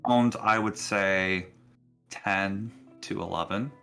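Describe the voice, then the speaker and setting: A man with a lowish, clearly bright voice acts as game master for a tabletop game. He narrates casually and encourages his players in an easygoing manner. Music plays faintly behind him. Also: fairly even intonation, slow pace.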